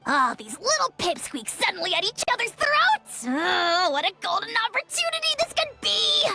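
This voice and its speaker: A woman voicing a boastful, bratty tiny dragon lord in a video game, pompous, loud and theatrical. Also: very high voice, very animated, normal speed, over loud music, exaggerated voice. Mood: gleeful, boastful.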